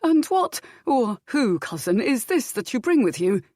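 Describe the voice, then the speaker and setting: A woman's voice: a little high, sing-song, unhurried, warm tone, no echo, clean studio sound, pitched above the performer's own voice. A woman voicing a skeptical, pompous, portly young-faced prior who questions in formal old-fashioned speech in a historical audiobook.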